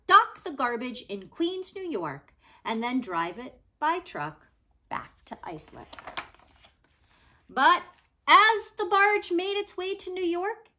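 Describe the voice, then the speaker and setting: A woman reads a story aloud in a high, bright voice. Her pitch swings in an animated way, and she leans on key words with dramatic pauses, like a storyteller reading to children. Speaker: reader of a children's non-fiction book. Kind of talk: expressive read-aloud narration.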